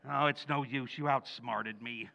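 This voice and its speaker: A man's voice: mid-range voice, steady tone, unhurried, vocal fry, sharp tone, muffled recording. An actor playing a bumbling, guilt-ridden middle-aged American husband and father in old-time radio comedy style, flustered and pleading in colloquial working-class talk.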